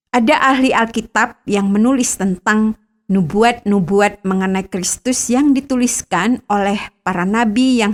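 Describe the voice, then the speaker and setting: A middle-aged woman from Bangka, Indonesia, reading a scripted devotional reflection in a measured, earnest way, lifting the start of each new thought. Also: mid-range voice. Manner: measured, earnest reading with lifted phrase openings. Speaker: devotional narrator.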